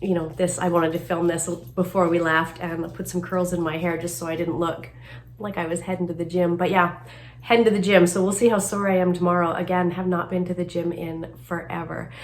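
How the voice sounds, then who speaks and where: A woman's voice: lowish voice, flat delivery, brisk, a little hiss or hum. Chatty middle-aged woman, slightly nasal. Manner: chatty, rambling, self-deprecating asides. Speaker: beauty vlogger. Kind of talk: casual chat to camera. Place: home, then moves upstairs to makeup desk.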